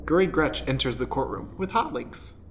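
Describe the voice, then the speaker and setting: A man's voice: medium pitch, very animated, normal speed, a bit bright, soft background music. A man narrating as stage manager, setting scenes in an improvised radio play. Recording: echoey room, faint background noise.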